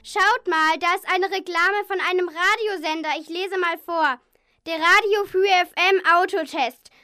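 A woman's voice: very high-pitched, measured pace, pushed and bright, no echo, studio quality, exaggerated voice. A young girl voicing an eager, scheming chocolate cookie villain in an amateur children's radio play. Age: child, primary school.

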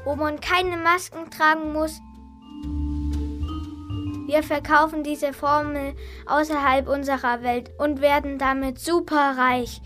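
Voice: high voice, fairly even intonation, slow, pushed and bright, soft background music, heavily acted. A child playing a greedy, scheming male villain in an amateur radio play. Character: greedy villain with a lightsaber hand, tall man.

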